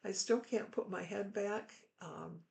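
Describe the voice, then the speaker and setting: A middle-aged woman pastor, hoarse after throat surgery, giving a candid, chatty and reassuring health update to viewers. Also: medium pitch, measured pace, darkish tone. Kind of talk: casual health update vlog.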